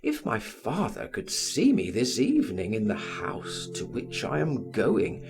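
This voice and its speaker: Guy reading as vain ambitious young man talking to himself, really swoopy pitch, music under it. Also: wildly swooping pitch, a little slow, vocal fry, heavily acted. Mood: excited, resolute. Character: ambitious, vain young male journalist thinking aloud. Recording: music behind the voice.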